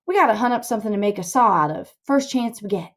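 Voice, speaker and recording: A woman's voice: medium pitch, lively intonation, quick pace, natural voice. A woman voicing a bossy, grandiose boy schemer in a storybook reading, lecturing scornfully in rustic dialect.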